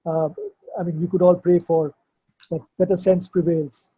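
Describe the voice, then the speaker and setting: A man's voice: high-pitched, level tone, normal speed, slightly rough, lo-fi sound. An older man, explaining things informally and hesitantly, often repeating himself as he searches for words. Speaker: poet and sculptor, guest at a book launch. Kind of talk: informal explanation at an online book launch.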